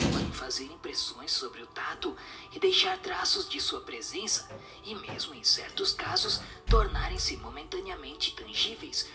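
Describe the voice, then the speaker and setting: A man plays a solemn spirit in an audio drama, instructing in a breathy whisper. The delivery swoops in pitch over a faint music bed, staying didactic throughout. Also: full character voice. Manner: didactic, measured explanations.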